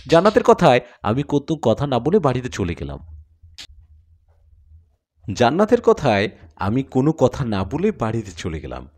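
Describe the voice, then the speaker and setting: Man narrating horror, lively swinging pitch. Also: normal speed, pauses often. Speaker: storyteller. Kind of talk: dramatic first-person horror story narration.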